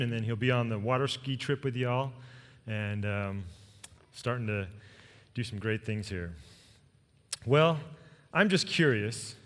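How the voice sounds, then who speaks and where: A male pastor talks casually to his congregation in a medium-pitched voice. His announcements are informal and joking. The sound carries the long reverb of a large hall. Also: fairly bright tone. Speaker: senior pastor.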